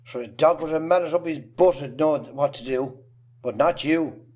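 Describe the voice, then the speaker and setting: A man plays a scornful, tight-fisted Irish farmer in an amateur play. His voice sits fairly high for a man, and he speaks bluntly and gruffly, belittling his family. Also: moderate pace, a little mellow, close to an everyday voice. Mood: exasperated, scornful. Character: bullying, scornful, miserly Irish farmer father. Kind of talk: amateur stage drama acting.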